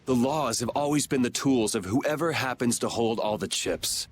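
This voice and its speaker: A man's voice: mid-range voice, normal speed, faint music behind, lightly acted. A man playing a cynical, defiant young vigilante swordsman in a dubbed anime game, with a blunt, sardonic delivery. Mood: bitter, defiant.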